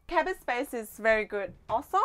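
A woman's voice: a little high, animated, fairly quick, over loud music. A young woman giving a casual guided tour as a host, speaking into a clip-on mic inside a small boat cabin. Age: young adult.

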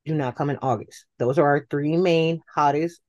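American woman on a podcast, kinda low voice, casual storytelling, acts out what people say. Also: normal speed, a little mellow, muffled recording. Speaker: podcast guest. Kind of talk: casual chat.

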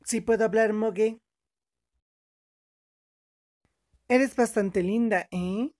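A woman's voice: fairly high pitch, unhurried, a little mellow, lightly acted. A woman voicing a small, cutesy, lecherous cat-like creature in a game fan dub, coaxing and repeating a nonsense catchword. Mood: playful, leering.

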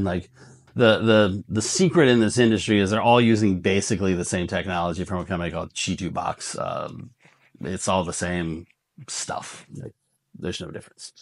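A man in his forties hosting a review video, giving casual, blunt product advice in colloquial language, often checking in with a tag question. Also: on the low side. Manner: blunt, colloquial, often tags points with "right?". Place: indoor workshop room with shelves of parts.

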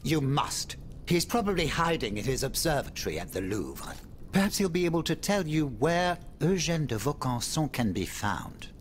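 A man's voice: fairly high pitch, big pitch swings, moderate pace, background noise. An actor playing an urbane, worried, wry French nobleman in a video game, with a courtly, theatrical manner that moves between exclamation and confiding murmur. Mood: grave, helpful. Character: urbane male French aristocrat, worried, wry, world-weary. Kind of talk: dramatic video game dialogue.

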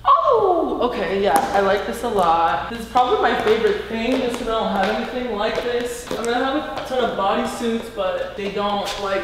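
A woman in her thirties chatting brashly and playfully through a try-on haul, filmed by a far camera in a large, bright, empty house. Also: mid-range voice. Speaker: fashion vlogger. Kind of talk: casual try-on haul chat. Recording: echoey bare room with noise.

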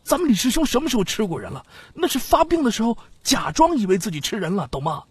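A man's voice: high-pitched, sing-song, slightly rough, no room sound, pitched above the performer's own voice, heavily acted. A man voicing a flustered young companion who chides in exasperation in an audiobook. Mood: exasperated, scolding. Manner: rapid, exasperated chiding. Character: flustered young male companion hastily covering up, scolding.

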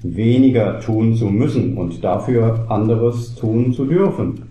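A man as a very dark-toned, deadpan teacher. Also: lowish voice, measured pace, roomy sound, close to an everyday voice. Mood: matter-of-fact. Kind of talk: scripted cabaret audio play.

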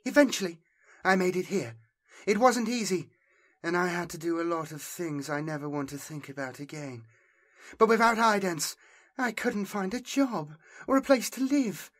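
A man's voice: sits high, normal speed, fairly bright tone, voice pushed up. A man voicing a distressed, defiant young woman refugee in an audiobook, speaking urgently in clipped phrases. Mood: bitter, confiding.

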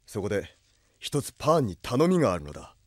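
Casting: grave exiled prince, low, close dry sound, measured and lordly, anime radio drama. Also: male voice, very animated, darkish tone, no room sound. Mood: grave, resolute. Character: grave exiled prince leading a rebel army. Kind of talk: anime audio drama acting.

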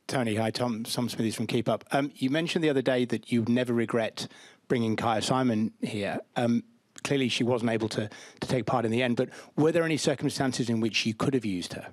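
A man's voice: medium pitch, fairly quick, no echo. Man reporter with a dry sound. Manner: polite, with ums. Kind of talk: asking a press question.

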